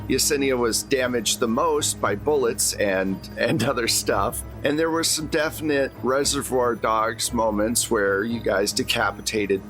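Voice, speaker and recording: A man's voice: mid-range voice, a bit bright. A man hosting and narrating a tabletop role-playing game in an informal, conversational way as game master.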